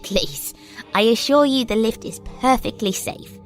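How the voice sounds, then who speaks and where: A woman's voice: fairly high pitch, measured pace, faint music behind, natural voice. A woman playing an uncanny little girl in an audio drama, polite, formal and coolly commanding. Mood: politely insistent. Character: uncanny little girl, prim, shrewd, older than she seems.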